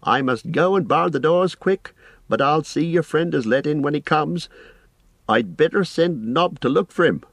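Man doing a high-pitched, flustered fat innkeeper. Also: lively intonation, moderate pace, creaky, dry recording. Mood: bustling, fussy. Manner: rustic, chatty, exclamatory. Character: fussy, flustered, kindly fat male innkeeper. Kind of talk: audiobook character voice.

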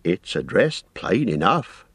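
A man voicing a fussy, forgetful old innkeeper who rambles in a flustered, drawn-out way. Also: low voice, sing-song, gravelly, a bit bright, slightly rough, no echo, exaggerated voice. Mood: apologetic fretful.

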